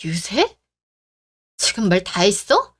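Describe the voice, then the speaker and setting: A woman voicing a calm, firm young woman who argues in a reasoned way in a story radio drama. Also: medium pitch, takes it slowly, breathy edge, no room sound, full character voice.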